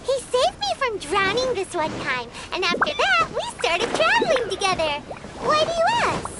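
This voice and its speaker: A woman voicing a tiny, chirpy, curious companion sprite in a game, with a squeaky childlike voice. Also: sing-song, normal speed, very noisy. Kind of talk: cartoonish game voice acting. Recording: prominent music under the voice.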